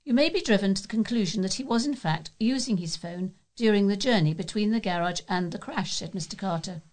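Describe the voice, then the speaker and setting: A woman's voice: mid-range voice, brisk, a little mellow. A woman with a British accent reads local news articles aloud as a volunteer newsreader.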